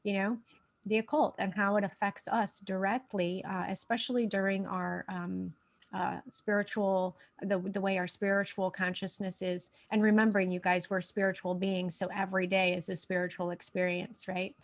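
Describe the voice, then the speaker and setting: A woman's voice: mid-range voice, level tone, normal speed. A woman hosting a casual spiritual livestream, a bit stuffy in the nose, rambling with many fillers and restarts. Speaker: host.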